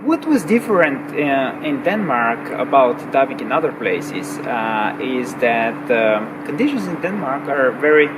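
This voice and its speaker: A man from Bulgaria with a Bulgarian accent, telling a personal story with frequent pauses, fillers and repeated words. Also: mid-range voice, very animated. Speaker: recreational diver. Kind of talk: personal story told to camera. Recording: distant mic with clear background noise.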